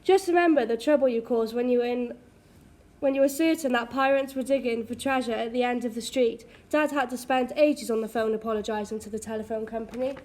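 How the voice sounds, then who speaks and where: A woman's voice: on the high side, steady tone, a little fast, a little mellow, natural voice. A woman playing a sensible, bossy young sister in an amateur radio drama. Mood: scolding, brisk.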